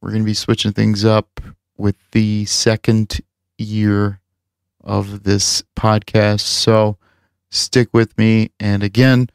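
A man's voice: fairly low pitch, little pitch movement, slow pace, creaky, clean tone, no echo, clean studio sound. A man hosting a podcast, chatting casually and rambling with lots of filler words as he wraps up the show. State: recovering from lost voice. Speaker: podcast host. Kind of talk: casual chat and show wrap-up.

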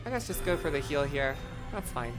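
Hoarse, excitable swearing game streamer. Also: mid-range voice, a little fast, over music. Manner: excitable, profane, reacts loudly to gameplay. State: voice getting hoarse. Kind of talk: casual live-stream commentary.

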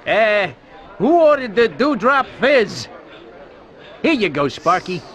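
A man voicing a bug bartender in a cartoon game, with drawn-out deadpan replies. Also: high-pitched, exaggerated voice. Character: unhurried male bug bartender. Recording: bar crowd behind voice.

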